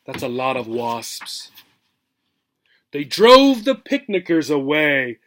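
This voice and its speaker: A man teacher reads a children's rhyming story in a high, bright voice. He goes slowly and expressively, explaining things simply and checking understanding with a short tag question. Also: sing-song. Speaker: teacher reading aloud to English learners.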